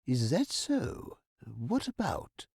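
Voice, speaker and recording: An actor playing a busy, modest academic professor, formal and articulate, somewhat fussy and evasive, in a video game. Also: male voice, on the high side, huge pitch swings, a little mellow, breathy edge, no echo, studio quality, heavily acted. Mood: busy, curt. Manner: formal, articulate, slightly hurried. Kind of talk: scripted point-and-click game dialogue.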